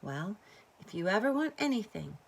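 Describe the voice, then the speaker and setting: A woman voicing a kindly enchanted female wren in a storybook, gentle with a touch of sternness. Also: mid-range voice, sing-song, normal speed, creaky, rough recording.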